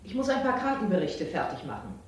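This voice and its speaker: A woman's voice: mid-range voice, very animated, fast talker, lightly acted. A woman playing a dutiful but reluctant doctor's secretary in a German radio crime drama. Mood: guarded. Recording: echoey distant old radio drama, hiss.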